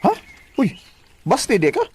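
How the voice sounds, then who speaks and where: Animated Cebuano friendly male co-worker, teasing radio drama delivery, highish voice, some background noise. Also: takes it slowly, exaggerated voice. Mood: surprised. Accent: Cebuano Filipino. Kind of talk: Bisaya radio drama acting.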